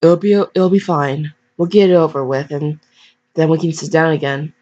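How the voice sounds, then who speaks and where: A woman's voice: low-pitched, fairly even intonation, normal speed, darkish tone, close to an everyday voice. A woman voicing a strong, reassuring man, a groom in a read-aloud love story. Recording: dull lo-fi microphone.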